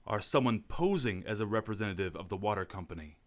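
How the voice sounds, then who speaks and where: An actor playing a calm, thoughtful gentleman detective in a radio drama. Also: lowish voice, close to an everyday voice. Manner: measured and thoughtful.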